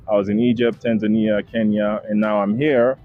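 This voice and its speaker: A man's voice: fairly low pitch, little pitch movement, normal speed, faint music behind. A young man from Haiti, a travel content creator, chatting casually as an interview guest with lots of fillers and repeated words. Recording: dull lo-fi sound, faint noise.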